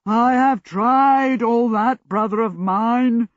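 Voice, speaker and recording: A woman voicing a huge male djinn in a storybook, booming and ponderous, trying to keep control. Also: no echo, highs cut off. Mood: thundering frustration. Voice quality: booming, loud. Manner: slow, ponderous, drawn-out words.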